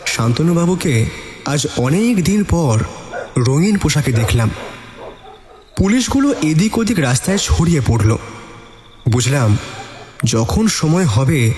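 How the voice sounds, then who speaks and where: A man's voice: fairly high pitch, big pitch swings, measured pace, pauses often, a little mellow. A man narrates a suspense detective story in the first person, in a dramatic storytelling style. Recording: echoey long reverb, music underneath. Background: suspense music.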